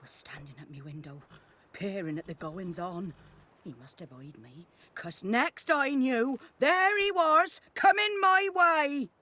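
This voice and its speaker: An actress playing a chatty, indignant old peasant granny in a fantasy video game, with a rural British accent and a rambling, folksy way of telling things. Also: female voice, on the high side, unhurried, pushed and bright. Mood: rambling, animated. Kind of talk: video game voice acting, storytelling.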